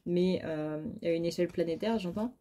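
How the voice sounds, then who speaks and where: A woman's voice: fairly low pitch, normal speed, mellow. A very tired woman giving a casual, chatty tarot reading, speaking informally and bluntly with mild swearing. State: very tired, short of sleep. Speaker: tarot card reader.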